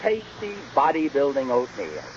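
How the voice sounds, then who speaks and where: A man's voice: mid-range voice, wildly swooping pitch, moderate pace. A man playing a genial, befuddled young husband and father, hesitant and effusive, in a 1940s radio comedy. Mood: cheerfully effusive. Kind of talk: 1940s radio sitcom acting. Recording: noisy, muffled old radio recording.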